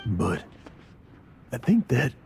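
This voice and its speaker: A man playing a shaken, humbly grateful character in a video game, halting and earnest. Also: mid-range voice, huge pitch swings, vocal fry, a little mellow, a little hiss or hum, full character voice.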